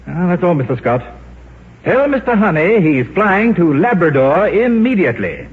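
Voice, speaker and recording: An actor in a 1950s radio drama playing a brusque, sarcastic senior boss, pompous and commanding, with a husky voice. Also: male voice, high voice, very animated, normal speed, noisy background. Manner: pompous, scornful, barking orders. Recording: tinny, band-limited 1950s radio recording.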